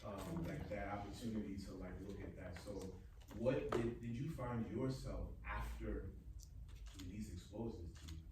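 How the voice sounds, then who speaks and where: A man in an audience making a casual, musing comment in a halting, conversational way. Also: on the low side. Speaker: audience member. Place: high up in audience seating of a hall. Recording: very echoey hall, distant, noisy.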